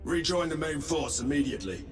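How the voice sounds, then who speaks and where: An actor playing a loyal, battle-hardened space marine sergeant in a game, speaking in formal military fashion. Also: male voice, fairly high pitch, lively intonation, fairly quick, soft background music. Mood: demanding. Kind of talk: cinematic game dialogue.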